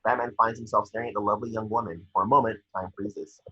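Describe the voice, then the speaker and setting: Husky man narrating stage directions. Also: on the low side, fairly even intonation, fast talker, bright, forceful tone. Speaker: scene-description narrator. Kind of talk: scripted narration. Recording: echoey, muffled home microphone.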